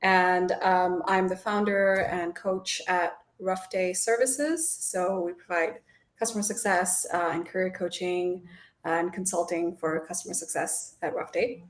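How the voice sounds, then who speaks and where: A woman's voice: on the low side, fairly even intonation, measured pace. A woman speaking as a panel guest on a video call, chatty and laughing easily, with many fillers. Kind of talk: panel discussion. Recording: echoey room on a video call.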